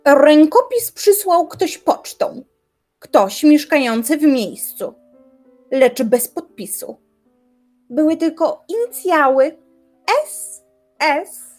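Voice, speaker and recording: High-voiced, obsessive young recluse. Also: female voice, expressive, darkish tone. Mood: cold, determined. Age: young adult. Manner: deliberate, dramatic, brooding. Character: obsessive, indignant young recluse woman philosopher. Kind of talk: theatrical radio drama monologue. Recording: music behind the voice.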